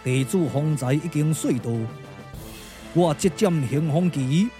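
A man voicing a solemn, courteous but unyielding Taoist commander, speaking in measured, formal declamation for a puppet-theatre radio drama. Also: a little high, dark tone, soft background music, natural voice. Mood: firm, defiant.

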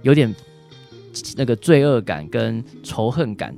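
A man's voice: medium pitch, big pitch swings, unhurried, gravelly, dark tone, soft background music. A man with a Taiwanese Mandarin accent, a doctor answering as an interview guest, explaining things in a hesitant way with frequent tag particles.

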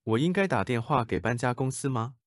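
A man reads short translated sentences for a language lesson, one after another. He moves quickly and keeps his delivery even. The recording is dry and close. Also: medium pitch, no echo. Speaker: translation reader.

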